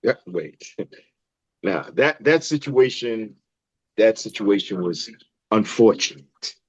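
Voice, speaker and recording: A man playing an evasive, defensive doctor with a measured manner in a table read. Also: fairly low pitch, lively intonation, dry recording, highs cut off. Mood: guarded, troubled.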